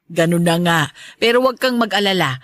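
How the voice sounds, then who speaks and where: Woman acting a middle aged mom in a radio drama, kinda low voice, patient but firm. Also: moderate pace, fairly bright tone, dry recording, close to an everyday voice. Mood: fond. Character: caring, firm middle-aged mother of the household.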